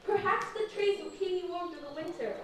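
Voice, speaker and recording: A woman's voice: very high voice, a little fast, a bit bright. A woman playing a lonely little bird with a broken wing, pleading in a puppet show. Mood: forlorn. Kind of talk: puppet show stage acting. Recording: echoey room, distant mic, faint noise.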